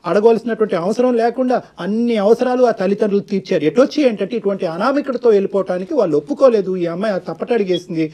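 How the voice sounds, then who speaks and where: Fast, high-pitched man counselling. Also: dark tone. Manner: emphatic, long-winded, mixes in English phrases. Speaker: counsellor on a talk show. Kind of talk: earnest counselling advice.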